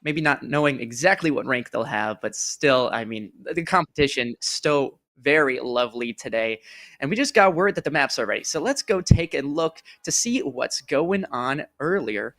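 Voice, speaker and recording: A man's voice: mid-range voice, lively intonation, moderate pace, a bit bright. A man hosting an esports broadcast in casual, rambling live desk chat, jokey, self-deprecating and enthusiastic. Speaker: esports broadcast host and caster.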